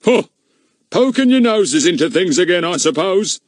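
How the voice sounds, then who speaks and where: A man's voice: sits high, sing-song, moderate pace, no echo, studio quality, voice pushed up. A man putting on a blustering pompous village policeman. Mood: sneering. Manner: blustering, scolding. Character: pompous, irritable middle-aged village policeman.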